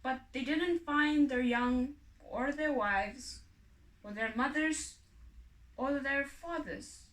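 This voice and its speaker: A woman's voice: high-pitched, slow pace, fairly bright tone. A woman reading a folk tale aloud as a storyteller. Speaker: story reader. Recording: echoey room, distant from mic.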